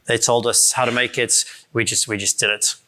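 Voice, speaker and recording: A man in his forties from South Africa, with a South African accent softened by years abroad, giving a casual conference talk with many fillers and restarts. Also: medium pitch, flat delivery, normal speed. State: voice strained from shouting the night before. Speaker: conference speaker. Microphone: handheld or stand mic.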